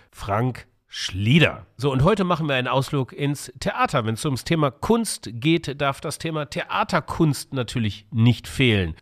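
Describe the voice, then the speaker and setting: A man hosting a podcast, talking informally and jokingly in a casual interview style. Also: mid-range voice. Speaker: podcast host.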